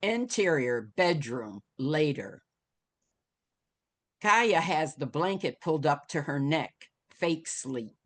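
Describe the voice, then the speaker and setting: A woman's voice: sits low, animated, slow pace. A woman reading scene headings and action from a screenplay as dramatic scripted narration. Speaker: stage directions reader.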